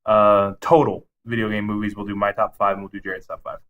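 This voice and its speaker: A man's voice: fairly low pitch, level tone, fast talker, fairly bright tone, muffled recording. Man co-hosting a casual podcast. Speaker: podcast co-host. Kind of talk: casual podcast chat about movies.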